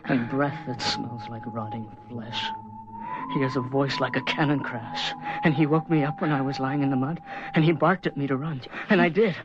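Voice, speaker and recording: A man's voice: medium pitch, moderate pace, dull audio, noisy background, lightly acted. An actor playing a tormented, menacing young man on the run in a radio horror drama, intense and brooding. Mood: haunted, brooding. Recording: band-limited radio drama with music underneath.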